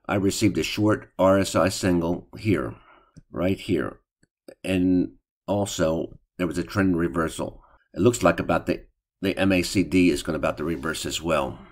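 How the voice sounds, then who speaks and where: Older man with a very deep voice. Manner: plain, unhurried, with fillers and restarts. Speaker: host of a stock trading channel. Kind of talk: casual screen-share commentary. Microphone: voice-over on screen recording.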